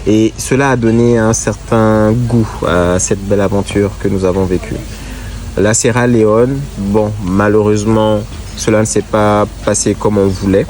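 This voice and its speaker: Low-voiced man with a head cold. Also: fairly even intonation, darkish tone, a little hiss or hum, rough recording. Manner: measured, halting, gives advice directly to viewers. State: has a cold, congested voice. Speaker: motorcycle traveler. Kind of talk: casual reflective talk to camera.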